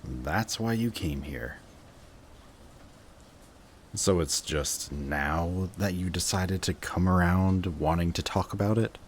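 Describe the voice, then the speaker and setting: A man playing a bitter, guarded villain secretly devoted to his rival, in an intense, sardonic audio roleplay monologue with dramatic pauses. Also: low voice. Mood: shaken, resigned. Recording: clearly audible background noise.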